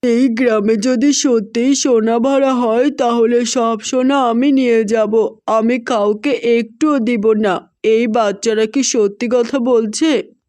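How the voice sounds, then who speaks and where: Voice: medium pitch, steady tone, slow, darkish tone, no room sound, close to an everyday voice. An actor voicing a greedy, rude male village moneylender in a cartoon fairy tale, with a scornful, bullying manner. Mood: greedy, scheming.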